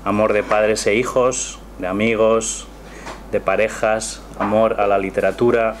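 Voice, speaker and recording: A man giving a measured, reflective presentation of his book before an audience in a small cultural café. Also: lowish voice, little pitch movement. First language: not Catalan. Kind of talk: prepared presentation speech with poetry reading. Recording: noisy room ambience.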